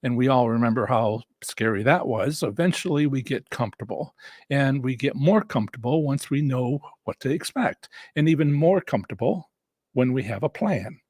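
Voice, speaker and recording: A man with a slightly rough, husky voice, worn from talking, hosting a casual instructional live stream in a halting, rambling way with repeated restarts. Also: mid-range voice, expressive, darkish tone, no room sound, clean studio sound. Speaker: host and instructor of a live stream on slots strategy.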